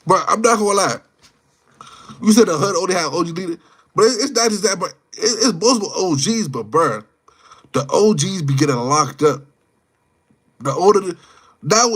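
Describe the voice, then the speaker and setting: Male livestreamer, mid-twenties, high-pitched voice, off-the-cuff slangy talk that loops back on itself. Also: slightly rough. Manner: slangy, rambling, repeats phrases and words. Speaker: livestream host chatting with viewers. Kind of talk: casual live chat, off-the-cuff opinion.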